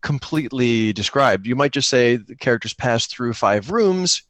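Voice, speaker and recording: Animated man chatting, close dry sound. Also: medium pitch, normal speed, no echo. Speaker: podcaster and solo tabletop role-player. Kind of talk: casual chat about tabletop gaming.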